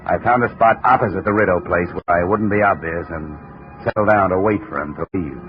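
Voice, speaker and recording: A low, hard-boiled male investigator, played by an actor in an old radio drama. He speaks in dry, terse, wry lines. The vintage recording is muffled, with faint music. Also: fairly quick, lightly acted. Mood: terse narration. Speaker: lead actor and narrator of a radio detective series. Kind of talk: 1950s radio detective drama acting.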